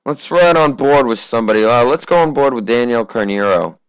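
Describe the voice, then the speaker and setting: A teenage American man from Massachusetts giving casual, conversational amateur racing commentary, his voice slightly hoarse from a cough. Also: medium pitch, expressive, fairly quick, no echo, poor audio. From Massachusetts, United States. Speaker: race commentator.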